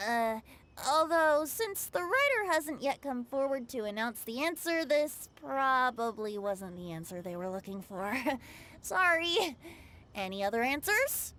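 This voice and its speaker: A woman's voice: sits high, fairly bright tone, full character voice. A woman voicing a gracious, cheerful young event host in a video game, polite and encouraging. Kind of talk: video game character acting.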